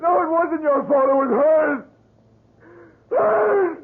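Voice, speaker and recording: A man's voice: extremely high pitch, slow, exaggerated voice. An actor playing a frenzied young man who shrieks sobbing accusations in a 1970s radio drama. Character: frenzied young man smashing wax figures. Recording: tinny lo-fi radio broadcast.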